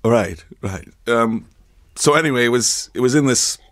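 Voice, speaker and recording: A man playing a polite, gently puzzled documentary interviewer, mild and hesitant in manner. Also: mid-range voice, expressive, normal speed, close to an everyday voice. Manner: hesitant, mild, murmuring acknowledgements.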